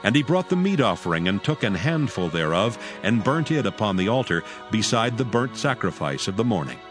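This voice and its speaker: A man narrating scripture from a script in a measured, formal manner. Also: lowish voice, sing-song. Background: music.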